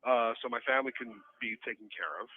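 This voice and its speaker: A man's voice: medium pitch, fast. A man in his forties talking casually from a jail phone, telling his story in emphatic, profane slang. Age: 40s. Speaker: inmate phoning in to a stream. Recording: tinny, band-limited jail phone line.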